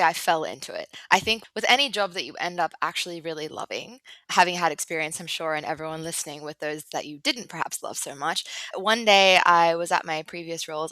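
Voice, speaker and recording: An upbeat female guest with a blended Australian and South African accent. Her voice sits a little low but is bright and forward, with a dry, close sound. She talks casually and chattily, as in a relaxed interview. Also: no room sound, low-quality recording. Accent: mixed international, Australian and South African. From Sydney, Australia, with South African and German background. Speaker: podcast guest, business development director.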